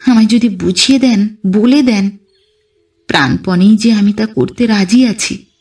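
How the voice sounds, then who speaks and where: A woman narrates a literary tale at a slow, unhurried pace. Her delivery is measured and expressive, in the manner of an audio storybook. She sounds a little away from the microphone. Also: medium pitch, recorded from a distance. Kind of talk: scripted literary narration in first person.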